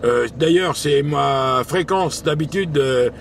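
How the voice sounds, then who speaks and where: A man with a fairly high voice chats casually as a radio operator. His delivery is informal and hesitant, sprinkled with slang. He is recorded outdoors with a light layer of background noise. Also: takes it slowly, vocal fry. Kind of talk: casual radio contact chat. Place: outdoors, mobile radio spot by a vehicle. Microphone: handheld camera picking up the voice. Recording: faint outdoor and vehicle noise.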